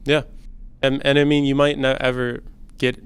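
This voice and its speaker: A man in his twenties hosting a student podcast, talking in a relaxed, chatty way with plenty of slang and fillers. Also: mid-range voice, normal speed. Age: 20s. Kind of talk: casual conversation.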